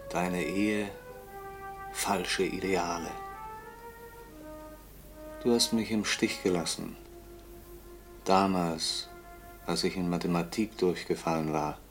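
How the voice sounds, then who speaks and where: Bitter grown son, deep slow brooding, 50s radio play with music loud behind. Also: male voice. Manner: deliberate, brooding. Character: bitter, accusing grown son, disillusioned and guilt-ridden. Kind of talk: 1950s German radio drama acting.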